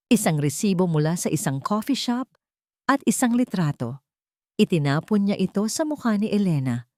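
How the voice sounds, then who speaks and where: A woman's voice: mid-range voice, big pitch swings, normal speed, dark tone, dry recording, studio quality. A woman storyteller reading a scripted, dramatic story narration.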